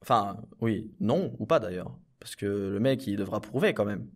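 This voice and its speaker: A man's voice: lowish voice, lively intonation, normal speed. A man playing an inarticulate clone henchman in a comedy audio drama, rambling, hesitant and easily exasperated. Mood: muddled, hesitant.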